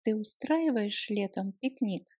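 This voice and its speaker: A woman's voice: medium pitch, lively intonation, measured pace, darkish tone, slightly rough, no echo, clean studio sound. A woman language teacher drilling short phrases, saying each one, breaking it into chunks, then repeating it. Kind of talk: slow phrase drill with translations.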